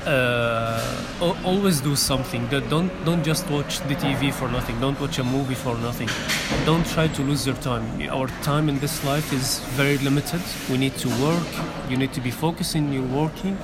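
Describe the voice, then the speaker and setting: A man's voice: mid-range voice, normal speed, hardly any pauses, very noisy. A man in his twenties from Syria, talking as a podcast guest in an earnest, hesitant way with many fillers. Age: 20s. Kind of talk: casual interview chat.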